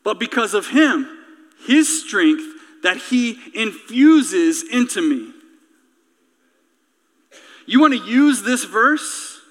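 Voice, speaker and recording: A man's voice: sits high, big pitch swings, takes it slowly, pauses often, fairly bright tone. A man preaching an impassioned sermon with emphatic, rhetorical, repetitive phrasing. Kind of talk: impassioned sermon with repeated phrases, closing in prayer. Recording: reverberant hall sound.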